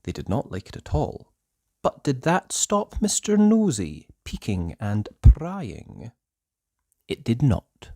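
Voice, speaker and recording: A storyteller reading a children's book aloud in a theatrical, deliberate way with drawn-out emphasis. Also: mid-range voice, wildly swooping pitch, dark tone, no room sound, clean studio sound. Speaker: storybook narrator. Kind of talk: expressive children's read-aloud narration.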